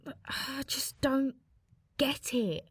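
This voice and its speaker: Embarrassed, curious young woman, played by an actress, slow hesitant speech, dry studio sound, radio play. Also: high-pitched, expressive, dry recording. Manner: hesitant, awkward, protesting. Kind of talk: naturalistic radio play dialogue.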